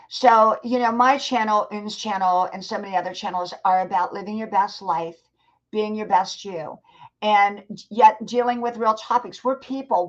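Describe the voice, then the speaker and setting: Woman, sixties, live stream host, chatty, repeats herself, encouraging, middle pitch, muffled lo-fi audio. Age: 60s. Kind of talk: casual live stream chat with viewers.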